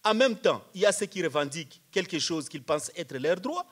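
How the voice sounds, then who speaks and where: A man's voice: a little high, big pitch swings, brisk, a bit bright. A man speaking on a panel at a public debate, explaining didactically with rhetorical repetition and questions.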